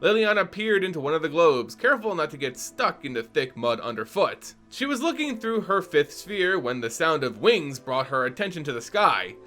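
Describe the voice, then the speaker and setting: A man's voice: on the high side, sing-song, moderate pace, few pauses, bright, forceful tone, soft background music. A man hosting a channel and giving a theatrical, playful dramatic reading of a story. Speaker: channel host and narrator.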